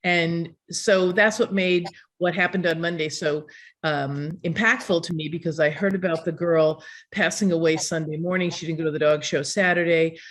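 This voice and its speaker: A woman's voice: fairly low pitch, steady tone, normal speed. An older woman hosting a community video call, talking earnestly and rambling with fillers.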